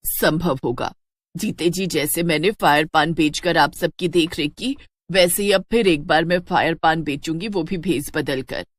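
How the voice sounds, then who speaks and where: A woman's voice: sits low, a little slow, no echo. Cartoon witch mother, devoted and resolute, earnest delivery, close dry recording female performer acting it out. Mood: determined. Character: devoted witch daughter-in-law, brave young mother in disguise.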